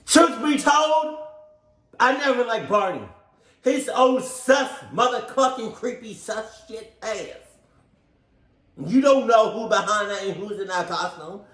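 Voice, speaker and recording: A man's voice: sits high, measured pace, a bit bright, reverberant, rough recording. A man giving casual reaction commentary in a blunt, joking, profane way. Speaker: reaction video host.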